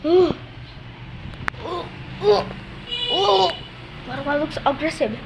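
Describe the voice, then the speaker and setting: Woman acting a high-pitched, dizzy, moaning virus victim. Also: creaky, background noise, full character voice. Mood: dazed alarm. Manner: moaning, halting exclamations.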